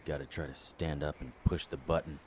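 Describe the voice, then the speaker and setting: A man playing a wry, world-weary detective narrating a noir audio drama in clipped, deadpan phrases. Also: rumbling low, a little fast, heavy background noise. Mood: urgent, wry.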